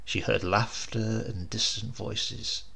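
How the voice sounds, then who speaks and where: Slow, very gravelly male storyteller. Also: fairly low pitch, steady tone, sharp tone. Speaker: audiobook narrator. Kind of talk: scripted dramatic story narration.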